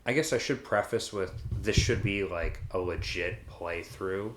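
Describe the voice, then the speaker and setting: Low-voiced man in an echoey room. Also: measured pace, noisy background. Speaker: podcast co-host. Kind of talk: casual chat, discussion. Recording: roomy, echoey room sound.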